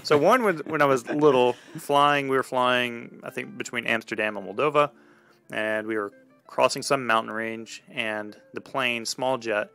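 Gravelly-voiced man telling a casual story. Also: mid-range voice, normal speed, sharp tone, faint music behind. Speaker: podcast host telling a personal story.

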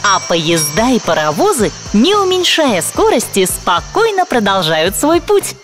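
A woman's voice: medium pitch, wildly swooping pitch, unhurried. A woman voicing a kindly, patient grown-up guide in an educational cartoon for small children, encouraging and instructive. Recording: music behind the voice.

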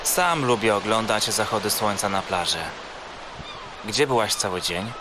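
An actor playing a kind, easygoing older brother, gentle and curious, in a children's audio drama. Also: male voice, fairly low pitch, a little slow, background noise, natural voice. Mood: caring, gentle.

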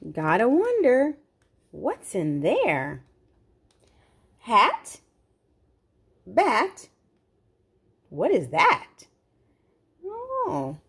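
A woman's voice: fairly high pitch, huge pitch swings, very slow, pauses often, slightly rough. A woman reading a picture book aloud to young children, expressively, with simple explanatory asides. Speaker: children's read-aloud host.

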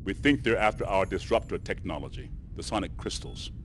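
An actor playing a stern, authoritative older general, giving clipped, grave military orders in a game cutscene. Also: male voice, low voice, brisk, slight background noise, close to an everyday voice. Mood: urgent, stern. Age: middle-aged. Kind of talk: video game cutscene acting.

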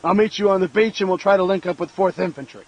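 A man playing a gruff American army sergeant, giving brisk, authoritative orders. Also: high voice, darkish tone, lo-fi sound. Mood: commanding, urgent. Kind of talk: military orders in game dialogue.

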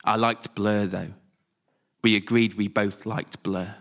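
A man performing a scripted autobiographical story live, delivered in measured short phrases. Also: on the low side, little pitch movement. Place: radio theatre stage, before a live audience.

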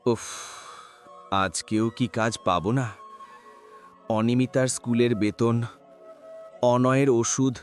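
A man's voice: medium pitch, slow pace, pauses often, faint music behind, close to an everyday voice. Man acting a weary, poor cartoon father. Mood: weary worry. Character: poor, worried, gentle father who pulls a handcart. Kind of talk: dramatised cartoon dialogue.

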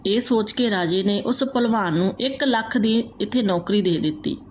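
A woman reading out a moral folk tale as a storyteller, in a steady, even manner. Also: medium pitch, moderate pace, a little hiss or hum.

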